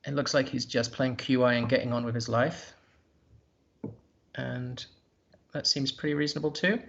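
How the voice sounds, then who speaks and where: A man's voice: medium pitch, fairly even intonation, moderate pace, gravelly, a bit bright, muffled recording. A man commentating a live board game, thinking aloud in a hesitant, musing way.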